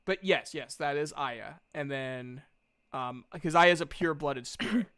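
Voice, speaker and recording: A man's voice: on the high side, measured pace, a bit bright, smooth, no echo. A man running a tabletop game as game master, narrating casually with an informal, playful, reassuring manner.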